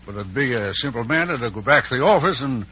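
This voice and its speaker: A man's voice: medium pitch, lively intonation, fast, lightly acted. Through a dull, lightly hissing vintage broadcast comes a man acting as a western sheriff. He is doubtful and folksy, with plain rural grammar, in the manner of old radio drama. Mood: skeptical.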